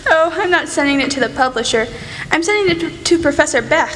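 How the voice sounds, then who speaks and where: A woman's voice: high-pitched, expressive, quick pace, a bit bright. A young woman acting in a student radio play as an earnest, spirited young writer. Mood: bright, insistent. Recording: noisy hall with long reverb.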